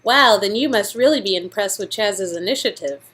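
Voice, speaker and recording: A woman's voice: mid-range voice, expressive, normal speed, a bit bright, natural voice. A woman playing a polite, plucky young aspiring actress in a comic dialogue reading.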